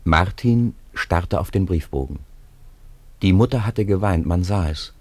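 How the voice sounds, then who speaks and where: Deep voiced guy narrating a story for a radio play, scripted reading. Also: a little slow, a little mellow.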